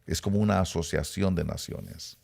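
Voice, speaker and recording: A middle-aged man from Rica, teaching a sermon with emphatic rises and quiet low asides. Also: normal speed, creaky, slightly rough. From Costa Rica. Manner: emphatic preaching, rising then dropping to low asides. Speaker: preacher.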